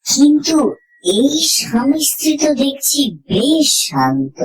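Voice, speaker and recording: A woman narrating a scripted horror story in the first person, reading dramatically and deliberately with suspenseful pauses. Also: high-pitched, big pitch swings, rough recording.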